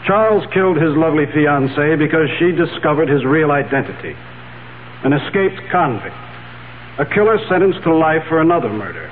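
An actor playing a solemn accuser addressing a court in a radio drama, formal, measured and emphatic. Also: male voice, a little high, animated, natural voice. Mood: grave, accusing. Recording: muffled, hissy 1970s radio recording with hum.

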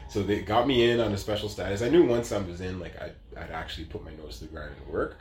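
A man's voice: deep voice, fast, reverberant, a little hiss or hum. A man in his late thirties telling his story as an interview guest, casual and rambling, with lots of fillers. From Canada. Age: 30s.

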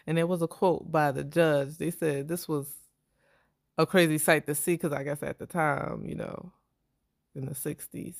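A woman hosts a true-crime podcast in a relaxed, chatty way, talking straight to her listeners as "y'all." Her voice sits on the low side, and the recording is close and dry, with no room sound. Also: normal speed, gravelly, no room sound. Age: 30s. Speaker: podcast co-host.

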